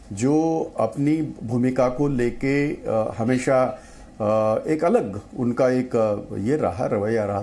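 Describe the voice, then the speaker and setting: Male panelist, slow and halting, mellow tone, frequent fillers. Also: mid-range voice. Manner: slow and hesitant, many pauses and fillers. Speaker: political panelist. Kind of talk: televised political debate.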